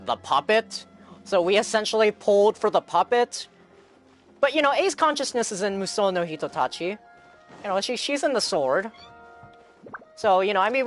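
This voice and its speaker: Casual male game streamer over faint music. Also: fairly high pitch. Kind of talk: casual live reaction commentary on a game.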